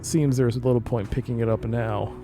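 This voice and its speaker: A man's voice: medium pitch, steady tone, moderate pace, mellow, soft background music. A man streaming a game, reading its narration aloud and adding casual commentary.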